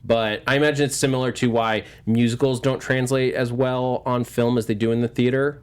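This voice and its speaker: A man's voice: mid-range voice, little pitch movement, brisk, clean tone. A man with a mid-range voice speaks as a panelist in a casual fan discussion. He comes across as opinionated and analytical, explaining his views in a conversational way. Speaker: panelist on a movie news talk show.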